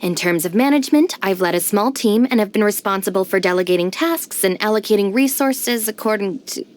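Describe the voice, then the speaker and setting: A woman's voice: mid-range voice, brisk, lightly acted. A woman voicing a competent, level-headed young gang deputy in a video game, speaking in a composed, dry, matter-of-fact way. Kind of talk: video game character voice lines. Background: music.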